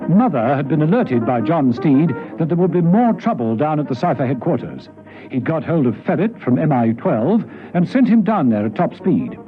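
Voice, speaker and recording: A man's voice: fairly high pitch, big pitch swings, moderate pace. A man narrating a spy radio serial in a dramatic, scripted storytelling style. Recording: muffled vintage radio recording, music behind. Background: music.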